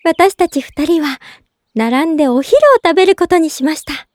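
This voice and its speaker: Woman voicing a very high-pitched, gentle young woman in love. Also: moderate pace, no echo, clean studio sound, close to an everyday voice. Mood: fond, serene. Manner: soft-spoken polite, earnest, sweet. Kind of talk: Japanese drama CD monologue, narrating in character.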